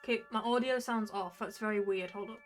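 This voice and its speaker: A woman's voice: medium pitch, fairly quick. A woman streaming a visual novel, reading aloud and chatting with playful asides. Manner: acts out dialogue while reading, with playful asides. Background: music.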